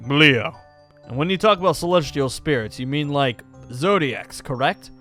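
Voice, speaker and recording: A man's voice: a little high, lively intonation, moderate pace, sharp tone. A man playing a curious, easily impressed young gunslinger in an improvised Minecraft roleplay, talking casually and full of questions. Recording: background music under the voice.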